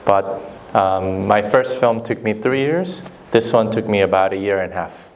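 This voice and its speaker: Young man, Korean first language, lowish voice, unhurried filmmaker answering questions, handheld mic, faint room noise. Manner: thoughtful, relaxed, slow deliberate phrasing. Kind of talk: casual Q&A with students. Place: school hall. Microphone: handheld microphone.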